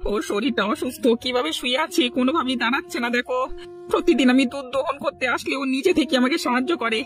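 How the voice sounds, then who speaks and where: A man's voice: very high voice, fast talker, soft background music. A man playing a simple, fretful village milkman in a cartoon, with a plaintive, worried manner. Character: distressed simple village milkman, fretting over his buffalo. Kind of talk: cartoon dialogue acting.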